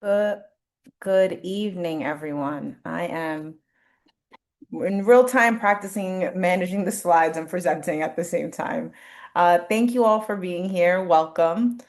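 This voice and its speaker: A woman's voice: on the low side, a little slow, a little mellow. A woman from New York City whose first language is Spanish, a speech therapist presenting a webinar over a video call, with frequent restarts.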